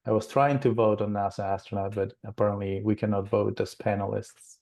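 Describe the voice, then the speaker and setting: A man's voice: fairly low pitch, level tone, brisk, creaky, a little mellow. A man from Peru presenting a planning webinar, speaking with many fillers and restarts.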